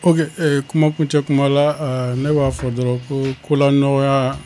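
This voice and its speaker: Flat, mellow-voiced man speaking slowly. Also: medium pitch, a little hiss or hum. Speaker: radio guest. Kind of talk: closing remarks on a panel.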